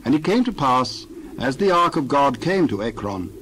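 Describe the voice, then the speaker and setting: A man narrating a dramatized scripture reading in a measured, steady way. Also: medium pitch, slight background noise, poor audio. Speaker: scripture reader.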